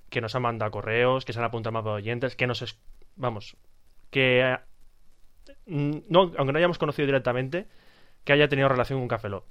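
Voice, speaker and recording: A man's voice: medium pitch, clear, resonant tone. Teasing Spanish man podcaster. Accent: Spanish from Spain. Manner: teasing, sarcastic jokes. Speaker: podcast co-host. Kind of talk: casual chat.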